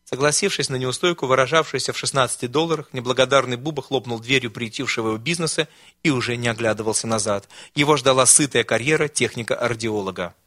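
A man's voice: medium pitch, fast, sharp tone, no room sound, studio quality. A man reading a humorous story aloud with a wry, ironic delivery, as a radio host.

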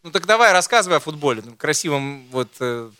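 A man's voice: on the high side, lively intonation, quick pace, sharp tone, clear, resonant tone, no echo. A man hosting a casual football chat, rambling and teasing, with many hesitations. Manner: rambling, teasing, full of fillers. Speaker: host.

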